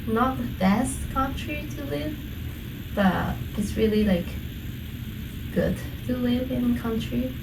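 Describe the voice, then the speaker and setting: A woman in her twenties with a Japanese accent, answering interview questions hesitantly with many fillers. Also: a little high. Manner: hesitant, lots of fillers, searching for words. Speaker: guest. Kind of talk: casual interview. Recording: echoey room from a distance, noisy.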